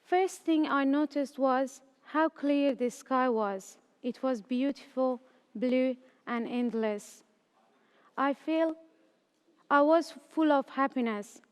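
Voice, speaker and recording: A young woman in her late teens from Afghanistan, raised in Iran, a student reading her personal story slowly, carefully and earnestly. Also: high voice, clear, resonant tone, in a large hall.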